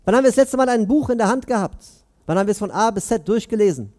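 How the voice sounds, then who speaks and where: Earnest high-voiced man preaching. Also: animated, darkish tone. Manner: earnest, questioning, pauses between phrases. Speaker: imam giving Friday sermon. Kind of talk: sermon. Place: mosque prayer hall, indoors. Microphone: headset mic.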